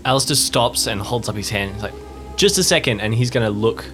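A man's voice: fairly low pitch, fairly even intonation, fast, fairly bright tone, soft background music. A man playing a tabletop game, casually improvising what his character does. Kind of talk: casual improvised action narration.

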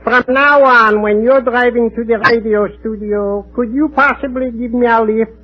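A man's voice: very high-pitched, steady tone, normal speed. A man playing a polite, eager visitor with a singsong, emphatic delivery in a mid-century radio comedy. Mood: polite, hopeful. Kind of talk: 1940s-50s radio comedy acting. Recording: dull old radio recording.

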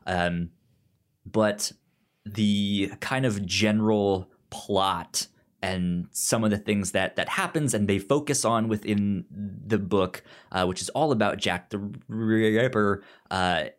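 A man's voice: fairly low pitch, takes it slowly. A man co-hosting a podcast, giving recommendations in casual conversation, often repeating and restarting his words. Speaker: podcast co-host.

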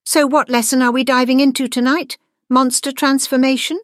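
A woman voicing an excitable, curious schoolgirl in a storybook narration. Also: high-pitched, normal speed, no room sound, studio quality, voice pushed up, lightly acted. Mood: eager, proud.

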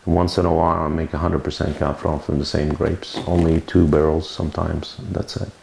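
Deep gravelly man. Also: little pitch movement, normal speed, darkish tone. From Lebanon. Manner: rambling, self-correcting storyteller. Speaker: winemaker interviewee. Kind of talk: oral history interview. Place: winery. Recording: roomy recording with faint noise.

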